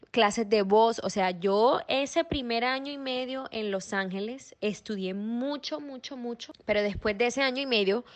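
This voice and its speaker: A young adult woman from Venezuela, an actress answering interview questions in an animated way, with quick restarts and repeated words. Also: mid-range voice, no room sound. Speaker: actress guest.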